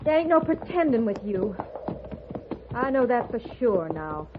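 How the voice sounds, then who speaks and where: A woman's voice: on the high side, big pitch swings, unhurried. A woman playing an earnest, frightened frontier woman with plain rustic speech in an old-time radio Western. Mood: scared, earnest. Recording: noisy, narrow-band vintage radio recording.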